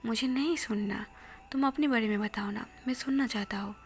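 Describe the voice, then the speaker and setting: A woman's voice: on the high side, fairly quick, slight background noise, lightly acted. A woman whispering the lines of a possessive, jealous rich young man in a storybook tale. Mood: pleading. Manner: soft whispering, pleading then menacing.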